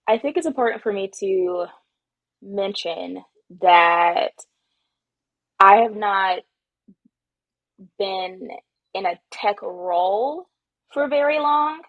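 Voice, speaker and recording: A woman co-hosting a podcast, answering questions in a measured, careful way in casual conversation. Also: medium pitch, a bit bright, dry recording, highs cut off. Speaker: podcast co-host.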